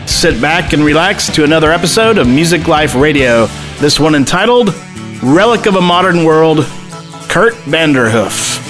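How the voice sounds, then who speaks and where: Male podcast host, friendly and loose, slightly raised pitch, guitar music under. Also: lively intonation, normal speed. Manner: friendly, loose, light laughs. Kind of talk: scripted podcast intro and casual interview.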